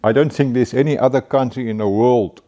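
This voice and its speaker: A middle-aged man from South Africa with an Afrikaans accent, hosting a casual car-building vlog, relaxed and chatty with frequent chuckles and the odd stumble over words. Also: medium pitch, brisk.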